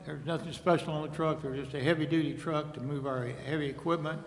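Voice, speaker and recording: Male staffer, slightly high monotone, plain explanatory talk, very roomy sound. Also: fast. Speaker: city staff member answering questions. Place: indoor council chamber. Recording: echoey, reverberant council chamber.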